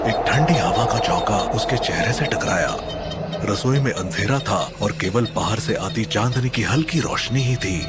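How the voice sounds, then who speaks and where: A man narrating a scripted horror story in a dramatic, suspenseful manner. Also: on the low side.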